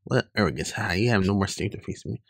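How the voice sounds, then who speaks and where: A man's voice: low-pitched, quick pace, vocal fry, no room sound, clean studio sound. A man voicing an arrogant, boastful demon hungry for power in a webcomic read-aloud. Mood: gloating. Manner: boastful, blustering.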